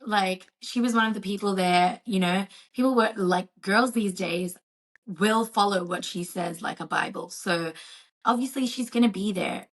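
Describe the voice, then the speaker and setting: Young woman, mid-pitch, a little croaky; enthusiastic podcast co-host. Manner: enthusiastic, quick to agree. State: slightly croaky from karaoke. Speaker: podcast co-host, F1 content creator. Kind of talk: casual chat.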